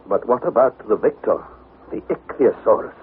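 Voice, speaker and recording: An actor playing an earnest young explorer, narrating his expedition in measured, dramatic vintage radio style. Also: medium pitch, animated, natural voice. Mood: wondering. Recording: tinny, hissy vintage radio broadcast.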